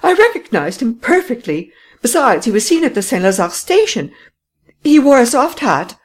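A woman voicing a shrill, excitable rich lady. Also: normal speed, slightly rough. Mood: insistent. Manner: breathless, shrill exclamations.

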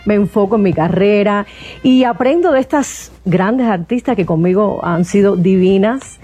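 Lady singer chatting on a tv show, mellow low-key tone, gushy and affectionate. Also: lively intonation, husky, faint music behind. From Cuba. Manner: effusive, affectionate, quick lists. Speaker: guest singer. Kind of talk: casual interview chat.

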